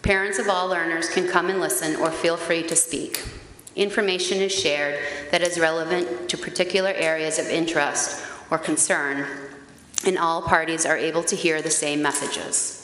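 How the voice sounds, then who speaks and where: Low-voiced woman reading at a podium. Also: normal speed. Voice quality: loud, carrying voice. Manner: earnest, steady reading with occasional hesitant fillers. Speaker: parent group facilitator at a public meeting. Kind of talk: reading a prepared statement aloud. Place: town meeting chamber, indoors. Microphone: gooseneck podium microphone. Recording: echoey hall, faint background hiss.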